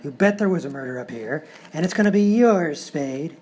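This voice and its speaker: A man's voice: fairly high pitch, lively intonation, fairly quick, a little mellow, slight background noise, natural voice. A man playing a tough, impatient escaped gangster who talks in old-time crook slang in a live radio comedy sketch. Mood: menacing, impatient. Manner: 1930s gangster slang, threatening. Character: menacing escaped male gangster, big, impatient tough guy.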